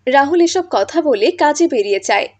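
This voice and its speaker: A woman's voice: high voice, lively intonation, brisk, a little mellow. A woman reading scripted narration for a cartoon moral story.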